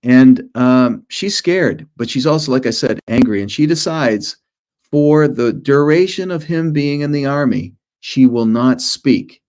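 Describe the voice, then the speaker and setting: A man's voice: medium pitch, animated, a little slow, darkish tone. A middle-aged man, an author, presenting informally to schoolchildren in short storytelling phrases with conversational asides.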